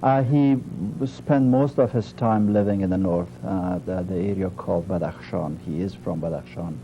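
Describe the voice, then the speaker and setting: A man from Afghanistan answering an interviewer as guest, speaking haltingly and searching for words. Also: deep voice, dark tone, dull audio, slight background noise.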